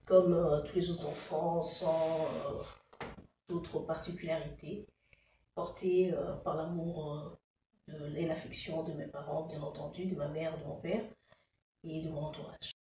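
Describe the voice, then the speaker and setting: A Congolese woman speaks slowly and carefully, pausing often on "euh" as she recalls her childhood. Her voice is low and mellow. It sounds distant, in a roomy, echoey space with a faint hum of ambience behind her. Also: little pitch movement. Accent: Congolese French. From Democratic Republic of the Congo. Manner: hesitant, frequent "euh" fillers. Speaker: show creator, interviewee. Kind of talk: slow, measured personal storytelling.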